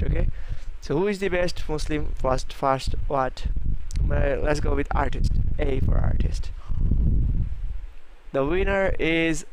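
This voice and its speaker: Young male host, slightly high voice, rambling and playful, noisy home mic. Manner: chatty, thinks aloud, repeats names, playful. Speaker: channel host. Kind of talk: casual screen-recorded search commentary.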